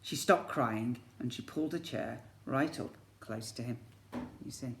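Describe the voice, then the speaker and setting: A man's voice: medium pitch, a little slow, gravelly, noisy background. A man reading a storybook aloud to young children like a teacher, expressively and with questions to the listeners. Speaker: infant school teacher reading to pupils. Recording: echoey room.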